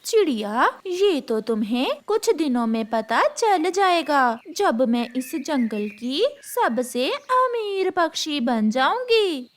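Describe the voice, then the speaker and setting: A woman voicing a sly, greedy bird wife in a cartoon story, with slow, coaxing, boastful delivery. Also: high-pitched, big pitch swings, slight background noise, heavily acted. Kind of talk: Hindi cartoon storybook acting.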